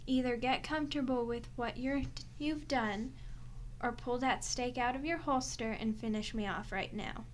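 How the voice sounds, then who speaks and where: A woman's voice: fairly high pitch, moderate pace, a little hiss or hum, lightly acted. A woman playing a sardonic, teasing, centuries-old female vampire in an ASMR audio roleplay, sweary and unrepentantly bloodthirsty. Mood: defiant, irritated.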